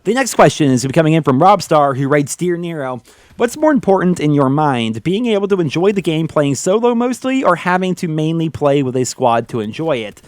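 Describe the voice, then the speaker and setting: A man's voice: fairly high pitch, big pitch swings, fairly quick, a little mellow, no echo, studio quality. A man with a head cold and a stuffy nose, hosting a casual gaming chat where he answers viewer questions with blunt, opinionated takes. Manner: candid, opinionated, frequent "like" and "man". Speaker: gaming YouTuber host.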